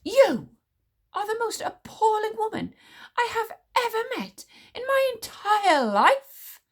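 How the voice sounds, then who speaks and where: A woman's voice: very high-pitched, lively intonation, slow pace, a little mellow, voice pushed up, full character voice. A woman voicing a flustered, pompous man who hunts witches, spluttering and babbling as he talks. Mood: indignant.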